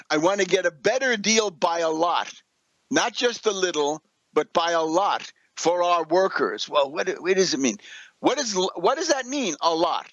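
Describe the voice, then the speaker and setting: A man giving unscripted political commentary as a radio host, combative and sarcastic, halting and correcting himself, often firing off rhetorical questions. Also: high-pitched, a bit bright, dry recording, low-quality recording.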